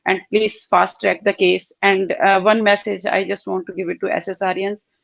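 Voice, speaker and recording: A woman pleads earnestly over a video call, her voice gravelly and kept flat in pitch. She pauses often and reaches for words. The audio is dry and dull. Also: dry recording. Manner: pleading, heartfelt, halting with fillers. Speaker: caller on live show.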